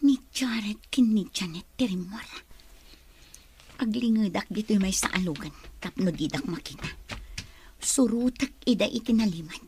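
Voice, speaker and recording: A woman's voice: medium pitch, mellow, a little hiss or hum. An actress with a Filipino accent playing a distressed young pregnant woman in a radio drama.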